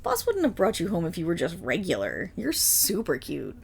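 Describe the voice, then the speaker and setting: A woman playing an excitable, affectionate, dim-witted young dog girl in a roleplay audio drama, bubbly, naive and eager. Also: lowish voice, moderate pace, vocal fry, breathy edge, exaggerated voice. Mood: cheerful, eager.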